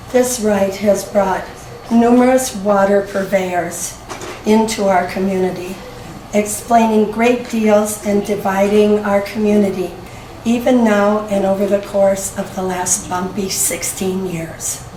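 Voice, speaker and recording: A hoarse woman in her sixties gives a prepared talk at a lectern in a library meeting room, reading steadily and clearing her throat often, recorded by a far camera with no microphone. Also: medium pitch, dark tone, slight background noise. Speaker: community speaker. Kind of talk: prepared talk read from notes. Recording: roomy, distant camera recording.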